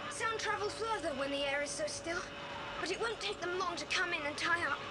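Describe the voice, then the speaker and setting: A woman's voice: very high voice, a little fast, long reverb tail. A woman playing an eager, bossy child with an English accent in a 1980s children's audio drama. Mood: urgent. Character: eager, bossy British child on an island adventure. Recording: faintly noisy old cassette tape.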